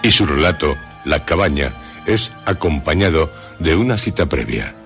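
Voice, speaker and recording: A man with a Castilian Spanish accent presenting a horror radio show in a solemn, suspenseful scripted narration with dramatic pauses. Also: very deep voice, expressive. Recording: loud music under the voice. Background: background music.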